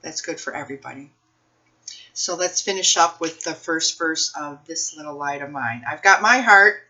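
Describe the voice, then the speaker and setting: A woman speaking as a children's librarian at a read-aloud storytime, expressive and addressing young children and families. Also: low voice, normal speed, low-quality recording. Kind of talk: picture book read-aloud and talk to families.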